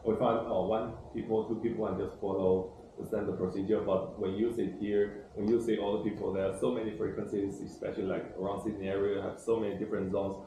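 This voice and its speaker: Low-voiced man, echoey. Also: level tone, fast talker, few pauses. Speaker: pilot. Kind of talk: casual reflective feedback. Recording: very echoey, noisy room.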